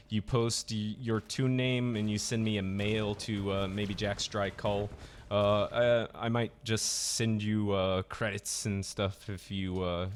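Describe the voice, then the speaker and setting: A man hosting a casual voice chat stream, rambling with lots of fillers and restarts. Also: lowish voice. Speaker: stream host.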